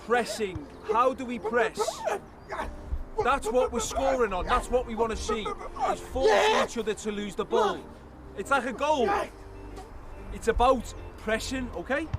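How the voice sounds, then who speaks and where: A man coaching at a training session on an outdoor pitch, instructing players urgently and asking them questions. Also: big pitch swings, unhurried. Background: loud music.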